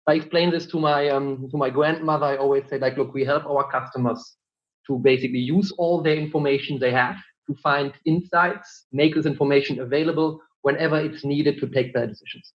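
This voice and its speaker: A man's voice: on the high side, fairly even intonation, brisk, muffled recording. A man from Germany with a German accent, answering interview questions as a marketing director, explaining patiently with frequent fillers. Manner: explanatory, many fillers and "look".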